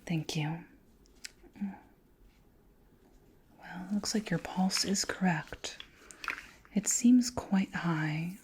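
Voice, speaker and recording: A woman voicing a curious, tender alien queen in a soft, breathy whisper for an ASMR roleplay. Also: lowish voice, slight background noise. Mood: fascinated, curious. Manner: slow, gentle, formally worded, soothing. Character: alien queen, curious, clinical yet tender, flirtatious scientist.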